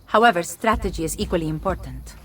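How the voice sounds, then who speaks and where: A woman playing a poised, shrewd Italian noblewoman in a video game, direct, deliberate and formal, with an Italian accent. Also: on the low side, animated, a bit bright, slight background noise. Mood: calmly instructive. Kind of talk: video game cutscene acting.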